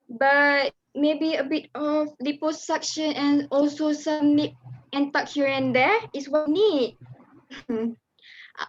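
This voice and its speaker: Domineering, high-voiced society mother, played by a woman. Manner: theatrical, condescending, slow and emphatic. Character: domineering, vain Malay mother, a wealthy Datin matchmaking her daughter. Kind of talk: dramatic reading. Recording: dull lo-fi sound.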